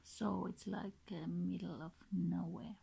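Very slow, breathy Finnish-accented woman. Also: fairly low pitch, fairly even intonation, dark tone. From Finland. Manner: hesitant, halting, pausing between short phrases. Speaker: vlogger introducing her channel. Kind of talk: casual unscripted talk to camera. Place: small bedroom, indoors. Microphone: built-in device mic, close to face.

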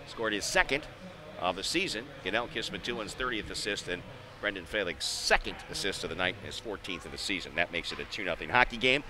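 A man calling a live hockey game as a play-by-play radio announcer, in clipped running phrases. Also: fairly low pitch. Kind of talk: live sports radio commentary. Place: ice hockey arena. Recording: noisy live ice arena ambience.

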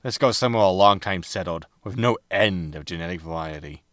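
A man's voice: sits low, a little fast, fairly bright tone, no room sound, studio quality. A man playing a roguish, wry spaceship captain with a laconic manner in a sci-fi comedy audio drama. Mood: wry, breezy. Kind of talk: sitcom audio drama acting.

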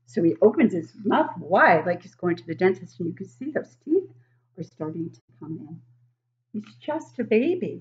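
A woman in her sixties reading a picture book aloud to young children, expressive and exaggerated, often putting questions to the listeners. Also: fairly low pitch, normal speed, lo-fi sound. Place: living room at home, indoors.

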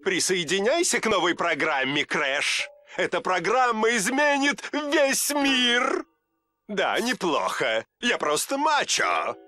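A smug boastful villain. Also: male voice, high-pitched, very animated, slow, bright, forceful tone, soft background music, full character voice. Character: boastful villain, smug self-styled macho. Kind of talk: Russian game dub acting.

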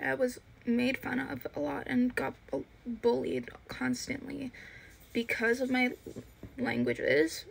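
Chatty eighteen-year-old woman with a gravelly edge. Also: fairly high pitch, fairly bright tone. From Italy. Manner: chatty, rambling, filler-heavy while doing makeup. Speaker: vlogger. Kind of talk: casual get-ready-with-me chat. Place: small bedroom indoors. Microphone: phone camera at arm's length.

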